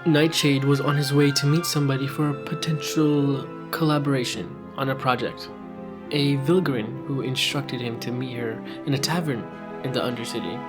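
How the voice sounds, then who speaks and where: A man with a mid-range voice shares the narration of a fiction podcast. He sounds informal and conversational though scripted, with music clearly playing behind him. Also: mid-range voice, fairly even intonation, normal speed.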